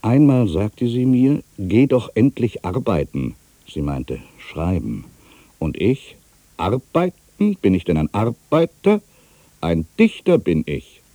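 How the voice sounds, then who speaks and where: Casting: proud, disillusioned old writer; very dark, husky voice, widely swinging intonation, theatrical monologue delivery. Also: male voice, fairly low pitch, wildly swooping pitch, slow pace, no echo, exaggerated voice. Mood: indignant, proud. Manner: brooding, self-questioning, swinging from mutters to outbursts. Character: ageing solitary male writer, proud, wry, disillusioned. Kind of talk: literary dramatic monologue, theatrical reading.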